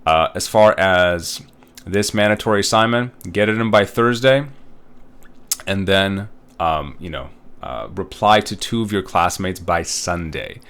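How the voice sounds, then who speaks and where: Male college instructor, thirties, from Uzbekistan; low voice, loose and chatty delivery with plenty of fillers. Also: a little slow, a bit bright, smooth. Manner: casual, rambling, with many fillers and tag questions. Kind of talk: recorded online course walkthrough.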